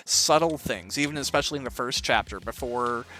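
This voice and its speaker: A man's voice: mid-range voice, fairly quick, sharp tone. A man hosting a casual interview, encouraging his guest with long, rambling questions. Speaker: interviewer, audiobook creator. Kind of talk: casual interview while playing a video game.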